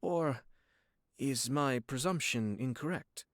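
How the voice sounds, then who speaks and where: An actor playing a courteous young noble clan head in a video game, speaking in a formal, measured, wryly understated way. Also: male voice, medium pitch, no room sound, studio quality, natural voice.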